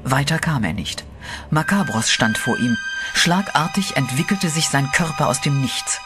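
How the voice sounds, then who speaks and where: A male narrator for a horror radio play who tells the story in a steady, dramatic way. His voice sits at a middle pitch for a man, and eerie music plays clearly underneath. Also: normal speed.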